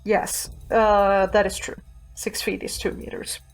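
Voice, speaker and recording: A woman's voice: medium pitch, flat delivery, a little slow, rough recording. A woman talking casually as a player in a tabletop roleplaying game, with music behind her.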